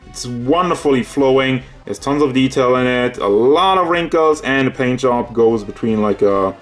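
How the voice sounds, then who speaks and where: Male collectibles reviewer, mid-range voice, off-the-cuff and conversational, picks at small details, music underneath. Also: medium pitch. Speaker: toy figure reviewer. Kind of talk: casual unscripted product review. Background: background music.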